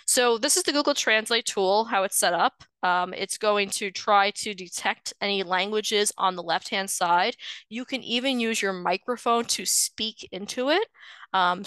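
A woman genealogist walks listeners through an online lecture in a friendly, explanatory way, often pausing on an um or uh. Her voice is bright and clean. The sound is dry and close to the mic. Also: medium pitch, clean tone, dry recording, low-quality recording. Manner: explanatory, friendly, with frequent um and uh fillers. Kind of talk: screen-share webinar lecture. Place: indoors, voice over shared slides.

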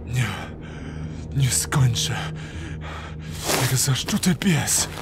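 An actor playing a wounded, desperate hunted thief in a fantasy audio drama, speaking in strained, halting mutters and curses. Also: male voice, mid-range voice, animated. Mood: defiant, angry. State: in pain, labored, injured. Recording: loud music behind the voice.

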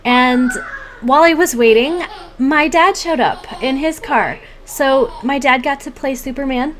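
A woman in her thirties with a fairly high voice talks casually to camera like a home vlogger. Her delivery is chatty and animated, recorded in a room with faint background noise. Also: measured pace, rough recording. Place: small home room, indoors.

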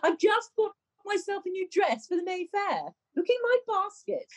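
Woman as a very high-pitched eager village lady. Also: animated, moderate pace. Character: excitable, pleased, peace-making village lady. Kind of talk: radio pantomime acting.

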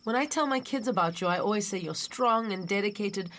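A woman's voice: mid-range voice, expressive, brisk, a bit bright, close to an everyday voice. A South African woman playing an encouraging, affectionate sister in a radio drama. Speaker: actor. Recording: faint night insects behind.

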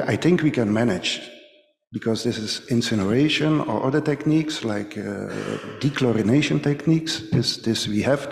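Man, middle-aged, Belgian accent, halting phrasing, conference presentation, echoey large hall. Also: medium pitch, gravelly, a little mellow. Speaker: conference speaker, company manager. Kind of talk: conference presentation in non-native English. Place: large ornate hall, indoors. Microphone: podium microphone. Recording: echoey hall with long reverb.

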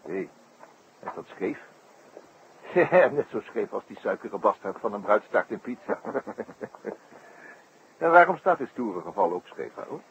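Droll, creaky-voiced police inspector. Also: male voice, fairly low pitch, very animated. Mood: musing, amused. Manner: droll, musing, drawn-out hesitations and echoed phrases. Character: wry, unruffled male police inspector nicknamed the Shadow. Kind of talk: old Dutch radio play acting. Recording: dull, creaky old radio recording, faint noise.

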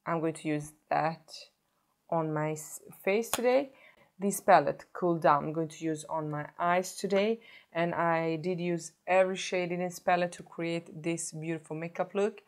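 A woman's voice: on the low side, unhurried. Chatty woman with a sore throat. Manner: chatty, self-correcting, stops to show products. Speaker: beauty vlogger. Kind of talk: casual makeup tutorial. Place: indoor home filming set.

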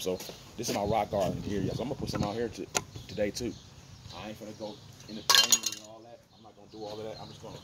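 American guy with a lowish voice, folksy rambly garden vlog, thinks out loud, loud outdoor noise and birds. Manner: folksy, repetitive, thinking aloud. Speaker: home gardener vlogger. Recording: noisy outdoor phone audio, uneven distance. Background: birds and outdoor ambience.

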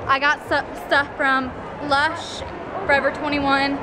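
Young american girl vlogger rambling loud n casual, high voice, super noisy crowded mall around her. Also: level tone, slow, over music, a crowd in the background. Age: young adult. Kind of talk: casual chat to camera while walking. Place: large indoor shopping mall, walking. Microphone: handheld camera at arm's length.

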